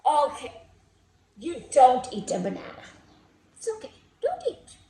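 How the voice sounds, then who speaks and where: High, animated woman reading to children. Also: unhurried, gravelly. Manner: playful, coaxing, questioning. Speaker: children's read-aloud host. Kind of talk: expressive storybook read-aloud for young children. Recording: echoey room.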